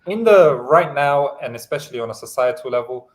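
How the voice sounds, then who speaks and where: Male host whose first language is Pashto, mid-pitched, relaxed and filler-heavy, casual livestream chat. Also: a little fast. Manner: easygoing, many hesitant fillers.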